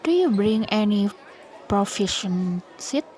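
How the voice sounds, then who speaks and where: A woman's voice: medium pitch, expressive, slow, darkish tone. A young woman playing a friendly, teasing teenage schoolgirl in a student-made radio drama. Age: young adult. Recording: noisy amateur recording, background chatter.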